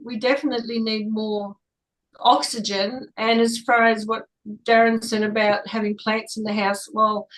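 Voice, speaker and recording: A woman's voice: a little high, a bit bright, rough recording. A woman from Germany, a native German speaker, chatting casually and tentatively in a group discussion as she thinks aloud. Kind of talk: casual reflective chat.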